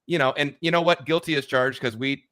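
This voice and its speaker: Man, talk show host, medium pitch, casual, agreeable, halting delivery. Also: pushed and bright. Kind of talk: casual interview chat.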